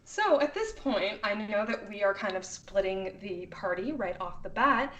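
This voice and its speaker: A woman's voice: medium pitch, animated, normal speed, a bit bright, lo-fi sound. A woman improvising narration as game master of a tabletop game, in a casual, amused way.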